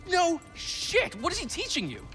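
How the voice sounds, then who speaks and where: An actor playing a friendly, curious, excitable young refugee man in a video game, chatty and awkward, often stopping and starting. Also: very high-pitched, normal speed, faint music behind, full character voice. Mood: curious, amazed. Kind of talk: naturalistic video game acting.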